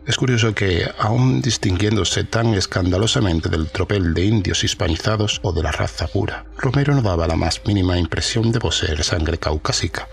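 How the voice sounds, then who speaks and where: A man voicing a secretive old former army officer who recounts a horror story in grave, formal, measured narration. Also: low voice, vocal fry. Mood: measured, reflective. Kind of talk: first-person audio horror storytelling. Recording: music under the voice. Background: music.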